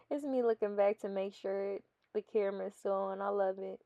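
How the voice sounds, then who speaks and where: A woman's voice: medium pitch, moderate pace, creaky, dark tone, no echo. A young woman with a stuffy nose, chatting casually as a fitness vlogger over her workout footage and often calling her viewers "guys.".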